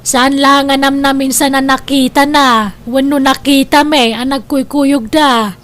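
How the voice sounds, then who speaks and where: High, nagging older mother played by a woman. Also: fairly even intonation, unhurried, a bit bright. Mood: suspicious, persuasive. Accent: Ilocano. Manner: insistent, scolding. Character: scheming, nagging older mother, possessive of son's money. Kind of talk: Ilocano audio drama acting.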